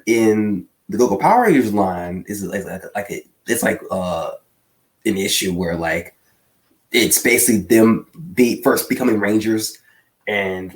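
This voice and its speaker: A man co-hosting a podcast, explaining things casually in a fan discussion with a hesitant, halting delivery. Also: fairly low pitch, rough recording. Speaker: podcast co-host and reviewer.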